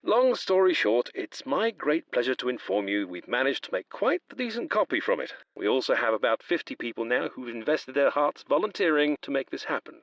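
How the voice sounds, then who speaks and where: An actor playing a polite, wordy railway official in an audio drama, apologetic and eager to please. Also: male voice, mid-range voice, sing-song, fairly quick, few pauses, a bit bright, no echo, dull audio. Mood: chatty, pleased.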